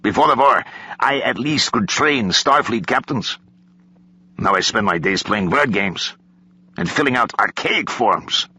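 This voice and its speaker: A man performs an aging Russian-accented admiral for an audiobook. He grumbles in formal, stilted sentences, wry and exasperated, with a forceful, roughened tone. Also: fairly low pitch, lively intonation, a little slow, no echo, full character voice, low-quality recording. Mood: wry frustration.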